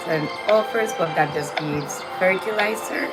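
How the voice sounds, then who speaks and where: A woman's voice: fairly low pitch, normal speed. Chatty woman game streamer. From Mexico. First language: Spanish. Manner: chatty, greets viewers by name. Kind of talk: casual live game-stream chat. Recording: game music loud behind.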